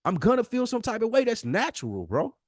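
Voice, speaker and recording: A man's voice: sits high, wildly swooping pitch, a little fast, no room sound, studio quality. An American man co-hosting a podcast, arguing casually but emphatically in slangy speech, often in a lecturing way. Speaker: podcast co-host. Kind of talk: heated casual debate.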